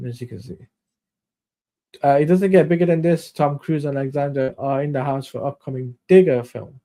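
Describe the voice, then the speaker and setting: A man's voice: medium pitch, moderate pace, mellow. A man hosting a casual live stream, reading out news items in a rambling way while losing his voice. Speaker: stream host.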